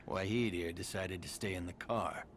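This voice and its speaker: Game role, embittered tycoon's son; low register, brooding and ominous storyteller, trailing into hush, slight ambience behind. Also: male voice, moderate pace. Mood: ominous, musing. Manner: ominous, brooding storytelling with hushed phrase endings. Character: brooding, bitter heir of a sinister amusement-park tycoon. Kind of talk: video game cutscene monologue. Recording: faint background ambient noise. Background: faint ambience.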